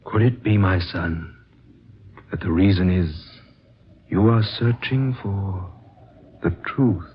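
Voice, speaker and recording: Wise, mysterious older spiritual guide: low, solemn, fatherly, 1940s radio drama, dulled old recording. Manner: solemn, measured, gently questioning, cryptic. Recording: muffled, noisy vintage radio recording.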